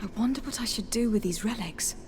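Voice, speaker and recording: A woman's voice: on the high side, fast, a little mellow, a little hiss or hum, lightly acted. An actress playing a composed, diplomatic noblewoman envoy in a fantasy video game. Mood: pensive. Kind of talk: scripted fantasy game dialogue. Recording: game music behind the voice. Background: game music.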